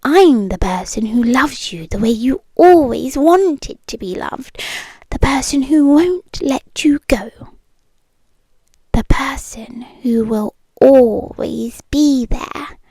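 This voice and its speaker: A British woman playing a possessive, obsessive ex-girlfriend in an intimate ASMR roleplay, speaking softly and coaxingly close to the microphone and often slipping into whispers. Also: sits high, lively intonation, breathy edge, no room sound, exaggerated voice. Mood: firm, possessive. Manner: soft, coaxing, slow and deliberate, slipping into whispers. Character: possessive, obsessive yandere ex-girlfriend, tender yet menacing.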